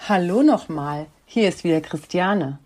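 Woman, program host, scripted intro, mellow lower voice, very animated intonation. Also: unhurried.

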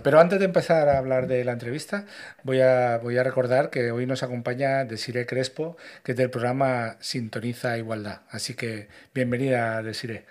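A man's voice: mid-range voice, a little mellow. A man hosting a radio show, introducing guests in a friendly, casual way with frequent restarts. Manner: friendly, hesitant, repeats words. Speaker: radio host.

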